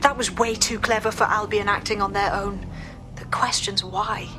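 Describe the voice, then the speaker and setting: A woman's voice: medium pitch, fairly even intonation, moderate pace, music in the background, close to an everyday voice. A woman playing a determined hacker resistance leader in a video game, blunt and decisive. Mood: worried.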